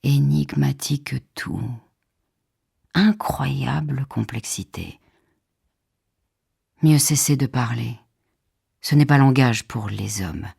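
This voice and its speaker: Woman, husky and breathy, slow, solemn, measured dramatic verse recitation, deliberate phrasing. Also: extremely low pitch, a little mellow. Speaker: poetry reader. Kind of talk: dramatic poetry recitation for an audiobook. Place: radio theatre recording studio.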